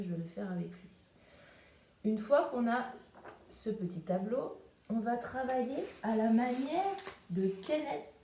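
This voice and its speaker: A woman's voice: medium pitch, warm tone. A woman teaching an art lesson to young children from home, explaining each step as she paints and cuts. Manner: step-by-step demonstrating while crafting. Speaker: preschool teacher. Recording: echoey room, faint background noise.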